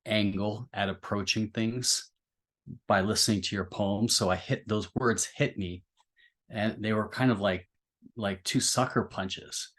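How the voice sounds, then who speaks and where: A man in a thoughtful literary discussion, speaking reflectively and feeling his way through roundabout, halting phrasing. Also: on the low side, fairly even intonation, fairly bright tone. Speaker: podcast discussion participant.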